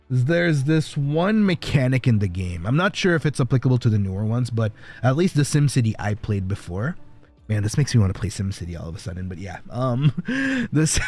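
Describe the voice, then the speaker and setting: A man's voice: mid-range voice, darkish tone. Male streamer, mid-range voice, rambling reassuring chatter over faint music. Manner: rambling, reassuring, laughs easily. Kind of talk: casual chat with viewers.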